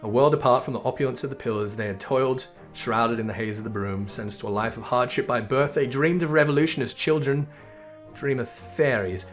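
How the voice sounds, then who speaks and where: A man's voice: medium pitch, fairly quick, faint music behind, natural voice. A man playing a solemn storyteller, narrating a fantasy game saga like a storybook.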